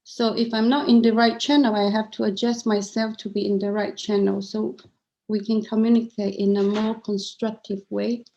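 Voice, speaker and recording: A woman's voice: mid-range voice, level tone, moderate pace, dark tone, dull audio. A middle-aged woman with a Cantonese accent, speaking candidly as an interview guest and often restarting her words. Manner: earnest and candid, frequent restarts and repeated words. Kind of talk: interview conversation.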